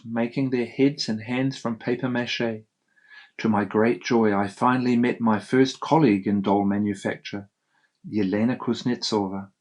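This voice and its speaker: Man reading first-person doc narration, calm and even, middle pitch. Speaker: documentary narrator.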